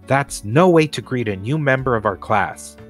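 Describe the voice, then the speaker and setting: A man's voice: medium pitch, lively intonation, normal speed, soft background music, lightly acted. A man voicing a prim, patient woman schoolteacher who scolds politely, reading a storybook. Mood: polite scolding.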